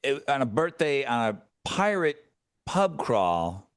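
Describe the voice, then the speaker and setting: A man's voice: medium pitch, sing-song, unhurried, clean tone. A man co-hosting a podcast, teasing his partner with joking banter. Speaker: podcast co-host. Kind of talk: casual podcast chat.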